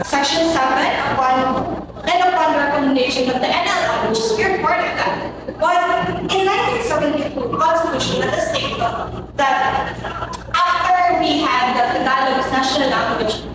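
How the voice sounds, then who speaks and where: A woman's voice: sits high, normal speed. A young woman with a Filipino accent giving a formal, recited panel presentation with occasional stumbles. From Philippines. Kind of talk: prepared presentation on a student panel. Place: large echoey room, far from mic. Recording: very echoey hall, far mic, loud noise.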